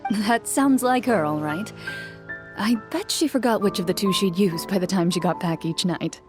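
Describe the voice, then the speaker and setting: A woman voicing a reserved young champion duelist in a game, calm, measured and formal. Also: medium pitch, close to an everyday voice. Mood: fond. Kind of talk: video game voice acting. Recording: music behind the voice.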